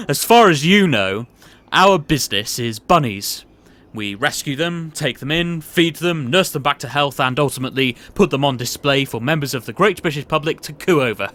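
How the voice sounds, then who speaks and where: A pompous, genial boss of a shady petting zoo, acted by a man in a comic audio drama. He is jovial and theatrical, drawing out his phrases in a voice lifted a little high. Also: animated, normal speed, a bit bright. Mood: amused, patronising.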